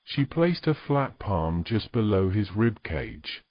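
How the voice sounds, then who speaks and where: Male narrator, fairly deep, reading story prose in a dry, slightly dull recording. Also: very animated, slow pace, no room sound.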